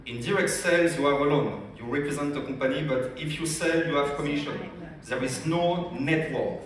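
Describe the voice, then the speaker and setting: A man from France with a strong French accent gives a lively business training talk at a convention, often asking the audience questions. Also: a little high, normal speed, slight background noise. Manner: energetic, addresses audience directly with questions. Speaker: business development director. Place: large convention hall. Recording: echoey hall, speaker far from mic.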